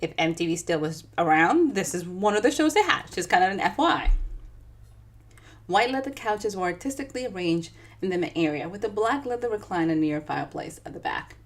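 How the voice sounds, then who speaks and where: A woman with a Dominican accent reads her own novel aloud and stops to chat with her audience. Her delivery is lively and expressive, with plenty of rise and fall. Her voice sits at an ordinary middle pitch. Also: fairly quick. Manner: chatty and animated, talking straight to viewers. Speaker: author reading her own book. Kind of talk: live book reading with chatty asides.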